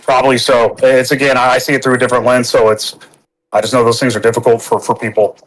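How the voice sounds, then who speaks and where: Halting southern-accented man chatting. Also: mid-range voice, level tone, rough recording. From Texas, United States. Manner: halting, restarts often, swears casually. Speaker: podcast participant. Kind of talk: casual podcast chat.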